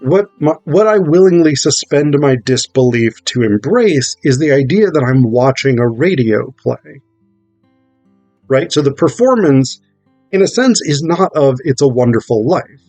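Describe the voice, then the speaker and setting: A man getting over a cold gives an informal, analytical talk about theatre, thinking aloud in a halting way with rhetorical check-ins. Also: mid-range voice, animated, a little mellow, no echo, faint music behind. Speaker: theatre performer explaining a play.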